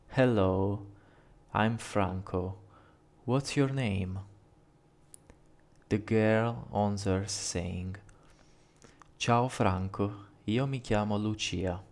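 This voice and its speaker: A man with an Italian accent teaches a language lesson in a low voice. He speaks very slowly and spells everything out with care. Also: a little mellow. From Italy. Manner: measured, carefully spelled out, reads dialogue lines aloud. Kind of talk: slow scripted language lesson.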